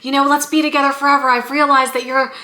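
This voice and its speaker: Brief: woman playing an over-sweet ex begging to return, soft and bright, echoey room. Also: high-pitched, little pitch movement, quick pace. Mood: pleading. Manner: syrupy, over-sweet promises. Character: sappy, pleading returning ex-lover.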